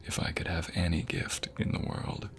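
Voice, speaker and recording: A man playing an adoring, flirty husband in an intimate ASMR romance roleplay, murmuring tenderly in a breathy, gravelly voice that often drops to a whisper. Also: low-pitched, sing-song, soft background music, heavily acted. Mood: tender, sincere. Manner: tender, slow, sighing delivery.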